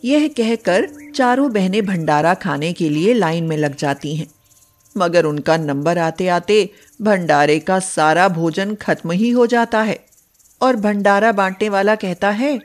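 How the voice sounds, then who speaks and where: A woman's voice: mid-range voice, measured pace. A woman narrating a scripted moral bedtime story as a storyteller.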